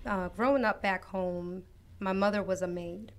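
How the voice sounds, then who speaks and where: A woman from Costa Rica, a guest author on an interview show, telling her life story in an earnest, reflective, unhurried way. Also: medium pitch, fairly even intonation. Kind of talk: personal testimony in an interview.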